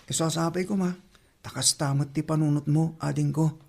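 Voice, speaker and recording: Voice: mid-range voice, fairly even intonation, normal speed, gravelly, darkish tone. A performer with an Ilocano accent acting a respectful, responsible teenage elder brother in an audio drama. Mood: earnest.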